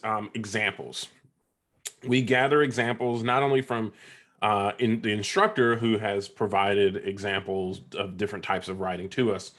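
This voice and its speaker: A man with a stuffy sore-throat voice, presenting a webinar in a halting way, with many ums and uhs. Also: on the low side, fairly bright tone. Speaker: software ambassador presenting a webinar. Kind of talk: casual presentation.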